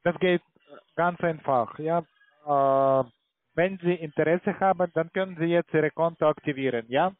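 A man with a foreign accent speaking non-native German as a call centre salesman, pitching haltingly and tagging sentences with "ja". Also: no echo. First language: not German. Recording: tinny narrow phone line.

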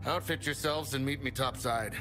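An actor playing an exasperated, haughty genius villain in a video game, speaking grandly and theatrically with precise diction. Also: male voice, medium pitch, normal speed, over music, close to an everyday voice. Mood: impatient. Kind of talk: video game cutscene acting.

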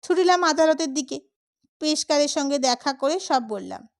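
Woman with a really high voice reading a funny story audiobook style, does the dialogue too. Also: animated, moderate pace, gravelly. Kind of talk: expressive scripted audiobook narration of a comic story.

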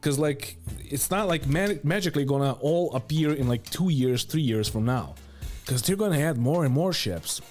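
A man's voice: fairly high pitch, animated, moderate pace, darkish tone. Blunt man in his thirties over music. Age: 30s. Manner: blunt, emphatic, sweary. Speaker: video commentator. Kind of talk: opinionated casual commentary. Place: home studio room. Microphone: close boom microphone with headphones.

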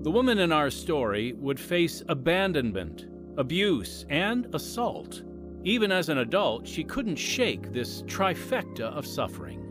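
A man narrating a radio drama as its announcer, with a dramatic, measured delivery. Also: a little high, very animated. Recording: music under the voice. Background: music.

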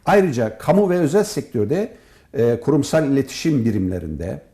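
A mellow, relaxed-sounding older man speaks as an academic being interviewed. His pitch moves in a lively way, and he phrases things formally, pausing often as he goes. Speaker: professor, head of an animation department. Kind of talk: interview answers in formal, partly prepared wording.

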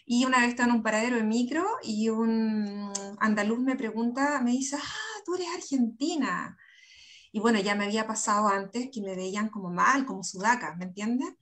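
A woman's voice: fairly high pitch, moderate pace, fairly bright tone. A woman with a Chilean accent speaking candidly and conversationally as an interview guest on a video call. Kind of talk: casual conversational interview talk. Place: indoor room on a video call. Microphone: computer video-call microphone.

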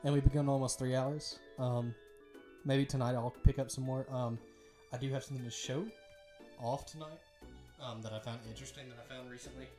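A man's voice: medium pitch, fairly even intonation, brisk, mellow, faint music behind. A man streaming a game, talking with lots of fillers, his voice strained from hours of reading dialogue aloud.

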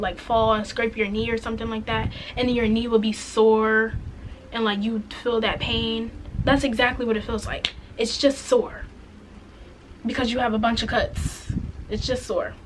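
A raspy-voiced woman in her twenties telling a frank, chatty story-time vlog to camera, full of "like" and "um". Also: on the high side, a bit bright, a little hiss or hum, poor audio. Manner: chatty, frank, many "like" and "um" fillers. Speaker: vlogger. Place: indoors, plain light wall, small room. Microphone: camera in front, head and shoulders.

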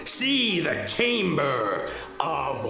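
A man voicing a harsh carnival barker in an audio horror play, calling out in a luring, drawn-out hawking style. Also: fairly high pitch, big pitch swings, creaky, sharp tone, faint music behind, voice pushed up, heavily acted. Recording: echoey, long hall reverb.

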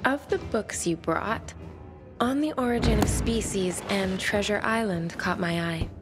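An actress playing a pensive, sensitive young bookish survivor in a video game, speaking in measured, formal phrases. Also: female voice, mid-range voice, music in the background, natural voice. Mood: probing, reserved. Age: young adult. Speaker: game character. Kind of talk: video game cutscene dialogue.

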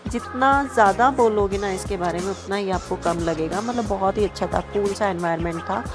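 A woman's voice: medium pitch, brisk. Young North Indian woman vlogger over music. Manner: mixes English words and phrases into speech. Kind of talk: casual vlog voice-over review.

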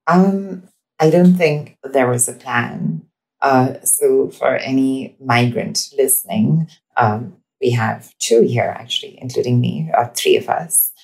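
Woman from india, super low and gravelly, casual over a video call. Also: expressive, slow pace, darkish tone, low-quality recording. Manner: hesitant, fillers, laughs easily. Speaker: guest. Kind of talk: casual interview chat.

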